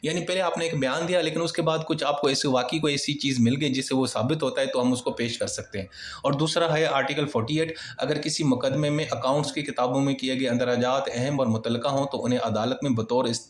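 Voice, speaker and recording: Echoey Pakistani man lecturing informally. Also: mid-range voice. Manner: conversational, explains with everyday examples and check-in tags. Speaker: law lecturer. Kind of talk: informal exam-prep lecture, explaining articles point by point. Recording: echoey, reverberant room sound.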